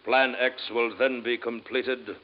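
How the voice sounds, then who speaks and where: A man's voice: mid-range voice, flat delivery, close to an everyday voice. Stern, cold official man, as acted on muffled recording. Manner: formal, measured, imperious. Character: stern, cold, official male Martian Grand Council spokesman. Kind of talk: 1950s radio drama acting. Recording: dull, noisy vintage radio recording.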